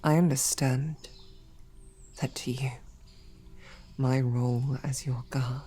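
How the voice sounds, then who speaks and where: A woman voicing a devoted, protective female royal knight in a whispered audio roleplay, with soft, earnest questioning. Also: rumbling low, fairly even intonation, takes it slowly, breathy edge, soft background music, full character voice. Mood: earnest, pleading.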